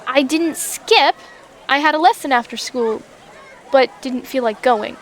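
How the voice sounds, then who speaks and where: A woman plays a teenage schoolgirl heroine in a fan-dubbed comic. Her high voice is youthful and earnest, with a hesitant, troubled sincerity. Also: unhurried, slight background noise, low-quality recording. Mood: troubled, defensive. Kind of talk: fan-dub comic voice acting.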